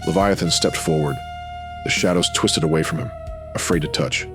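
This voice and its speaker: A man's voice: sits low, normal speed, over music. A man narrating scripted supernatural noir in brooding, clipped dramatic phrases.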